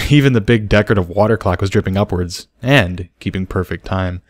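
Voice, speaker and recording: A man voicing a wry, mischievous adult male unicorn with a dry, unhurried manner in a storybook. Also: low voice, dry recording, natural voice. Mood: amused reminiscing.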